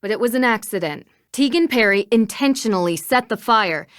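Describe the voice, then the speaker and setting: A determined young woman sleuth from a mystery game acted by a woman. She speaks in a high, firm, probing voice, recorded very dry. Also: expressive, a little fast, clear, resonant tone, no room sound, natural voice. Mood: earnest, firm. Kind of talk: video game voice acting.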